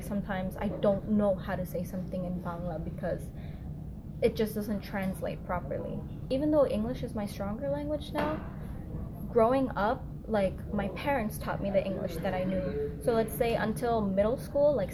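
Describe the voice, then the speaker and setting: A woman's voice: mid-range voice, moderate pace, background noise. A young woman answering interview questions in a casual, chatty way, with lots of "like" fillers. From United States. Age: young adult. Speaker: interviewee, student.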